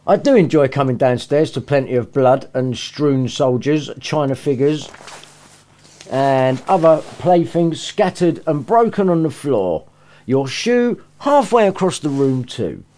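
A stern, fed-up mother scolds her child in a raised, lively voice, as a man puts her on. She lectures sharply, with lots of lift and fall in her tone. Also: fairly high pitch, unhurried. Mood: sarcastic. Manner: lecturing, indignant.

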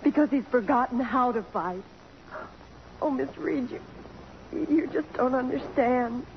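A woman's voice: high-pitched, slow pace. An actress playing an emotional, idealistic young college girl, heartfelt and breathless, in 1950s radio drama style. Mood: tearful, ashamed. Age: young adult. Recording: dull, faintly noisy old radio recording.

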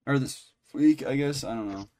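A man's voice: medium pitch, moderate pace, warm tone. Rambling man podcasting over background noise. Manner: rambling, thinking aloud. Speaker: podcast co-host. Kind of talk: casual pop-culture review chat.

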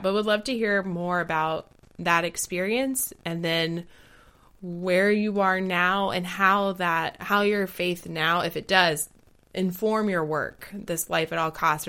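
A woman from the southern United States hosting a podcast, asking measured, thoughtful questions. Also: fairly low pitch, bright, forceful tone. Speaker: podcast host. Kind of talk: podcast interview.

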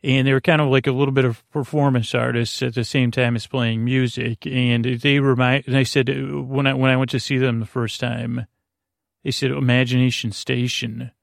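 A man hosting a bedtime podcast, meandering and halting as he interrupts himself and drifts through a rambling monologue with a creaky voice. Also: mid-range voice, level tone, dry recording, clean studio sound. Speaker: podcast host.

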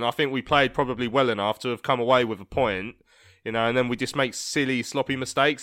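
A man's voice: mid-range voice, fairly bright tone, clean tone, no echo, clean studio sound. A man hosting a casual podcast about a football club, chatting in a rambling way with plenty of fillers. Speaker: podcast host. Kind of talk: casual fan podcast chat.

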